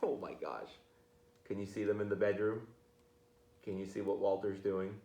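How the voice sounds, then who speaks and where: A man's voice: lowish voice, little pitch movement, normal speed, mellow, dull audio. A man reading a children's picture book aloud, playfully talking to his listeners with chatty asides. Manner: playful, addresses listeners directly. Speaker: read-aloud storyteller. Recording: roomy, echoey sound.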